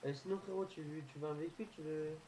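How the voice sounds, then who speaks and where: A man's voice: fairly high pitch, steady tone, fast talker. A man chatting in blunt slang as a player in an online role-play game. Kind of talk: casual slangy game voice chat. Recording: voice chat with faint background noise.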